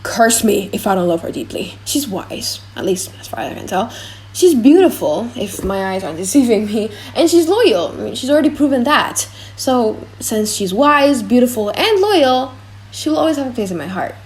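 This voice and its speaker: Young romantic suitor, tender and eager, higher register, for an audiobook play woman performs. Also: sing-song, normal speed, a little mellow. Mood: adoring. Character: lovestruck young gentleman, eager, tender, hurried. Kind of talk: audiobook drama reading.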